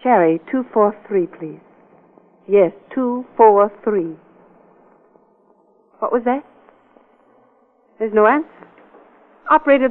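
A woman playing a friendly, troubled small-town clerk in a 1940s radio crime drama. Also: on the high side. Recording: muffled, narrow-band old radio recording.

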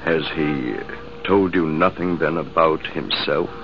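An actor playing a male army major who tells the story in a reflective, measured way, in 1950s radio drama style. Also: extremely low pitch. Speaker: narrator. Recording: hissy, muffled old radio broadcast.

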